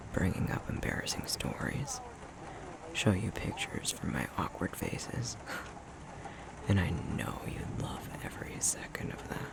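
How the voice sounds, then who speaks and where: A man playing a tender, self-conscious young fox-shifter boyfriend in an intimate ASMR roleplay, speaking in a soft, breathy, halting murmur. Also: deep voice, heavily acted. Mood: fond, soft. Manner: unhurried, halting, self-deprecating chuckly storytelling.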